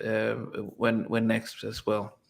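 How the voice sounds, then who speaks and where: A man hosting an interview in a halting way, with many restarts. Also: fairly low pitch, monotone, vocal fry. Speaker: interview host.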